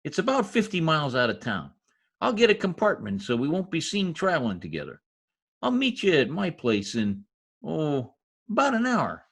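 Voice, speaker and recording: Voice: mid-range voice, wildly swooping pitch, moderate pace, fairly bright tone, exaggerated voice. A performer in a 1940s-style radio comedy-mystery voicing a shady, wisecracking informant with slangy, ungrammatical talk. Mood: businesslike, cagey. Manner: streetwise slangy talk with bad grammar. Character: shady, wisecracking lowlife gangland informant nicknamed Piggy. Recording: husky-sounding home recording over video call.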